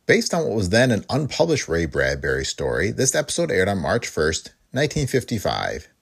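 A man's voice: low voice, huge pitch swings, moderate pace, creaky, breathy edge. A man hosting a podcast with scripted, introductory narration. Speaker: podcast host.

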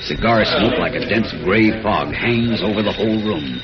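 A man's voice: on the low side, moderate pace, a loud crowd. A man with an American accent reading scripted scene-setting narration for a 1940s radio drama. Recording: muffled old radio recording with music.

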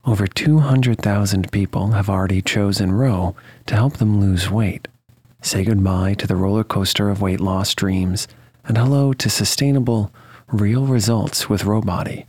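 A man's voice: on the low side, normal speed, a little mellow, dry recording. A man delivering a scripted podcast advertisement as the ad reader.

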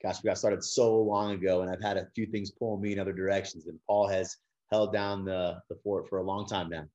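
Man, 30s, very low voice, friendly, easy laugh, conversational guest. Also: flat delivery, brisk, darkish tone, dull audio. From western USA. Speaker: guest, business co-owner. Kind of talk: casual chat.

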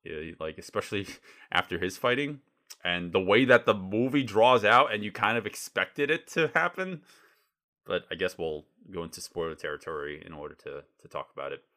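A man with a low, bright voice and very lively intonation, a native Cantonese speaker. He talks casually about films, in a loose, rambling podcast manner. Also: normal speed. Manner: rambling, animated. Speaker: film discussion podcast speaker.

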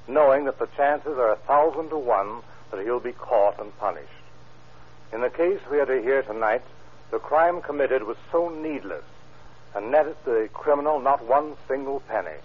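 Husky sheriff reading on old tinny radio. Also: male voice, medium pitch. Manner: formal, measured. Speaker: county sheriff. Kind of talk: scripted message read aloud. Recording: muffled, tinny 1930s radio recording.

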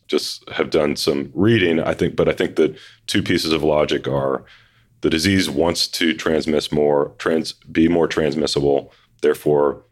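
A very deep-voiced man hosts a finance talk show with a hoarse throat. He lays out his reasoning in a steady, explanatory way. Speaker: podcast host. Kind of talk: conversational finance discussion.

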